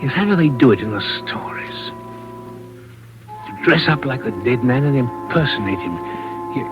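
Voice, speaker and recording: A man's voice: medium pitch, lively intonation, normal speed, close to an everyday voice. An actor playing an anxious man fretting over a crime, with hesitant, repetitive muttering in vintage radio drama. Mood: nervous pondering. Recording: dull old radio recording with music behind.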